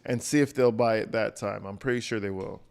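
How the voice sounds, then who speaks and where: A man's voice: on the low side, a little fast, gravelly, darkish tone, smooth. A man hosting a livestream, giving casual, profane reaction commentary in a blunt, mocking, slangy way. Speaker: livestream host reacting to video.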